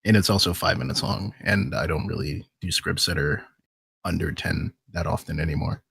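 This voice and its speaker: A man with a gravelly voice chatting casually as a podcast guest, blunt and drily humorous. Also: deep voice, normal speed, a bit bright, no room sound. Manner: blunt, dry humour.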